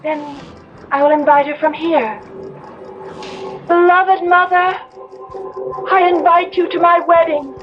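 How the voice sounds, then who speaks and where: On a muffled old radio drama recording, a haunted young bride. Her high voice is fragile and halting, dreamy yet willful, in a melodramatic style. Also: steady tone, soft background music. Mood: wistful, longing. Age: young adult.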